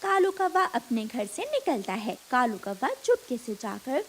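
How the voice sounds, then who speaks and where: A woman's voice: high-pitched, big pitch swings, measured pace, slight background noise. A woman narrating a scripted cartoon story.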